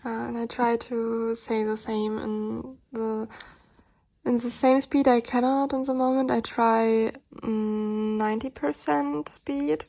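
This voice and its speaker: A woman's voice: fairly high pitch, fairly even intonation, slow, gravelly, warm tone. A woman with a German accent, a native German speaker, explaining her study routine in a casual, hesitant way with many fillers. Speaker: language learner vlogger.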